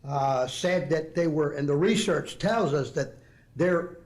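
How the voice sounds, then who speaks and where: A man's voice: fairly high pitch, slow, a little mellow. A man in his sixties with a Southeast Texas accent, giving an earnest, rambling speech to a group at a dinner, with many fillers and restarts. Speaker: speaker at an education program dinner.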